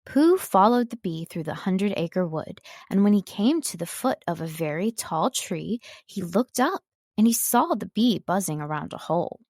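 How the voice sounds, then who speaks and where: A woman reading scripted story narration as an audiobook narrator, with expressive, dramatic storytelling. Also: on the low side, normal speed, no echo, studio quality. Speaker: audiobook narrator, voice-over artist.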